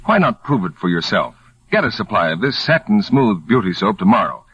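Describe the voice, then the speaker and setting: A man's voice: on the low side, very animated, brisk, husky, no echo. A man announcing a 1940s radio commercial in a selling style. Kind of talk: 1940s radio advertising pitch. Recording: dull, dry vintage radio recording.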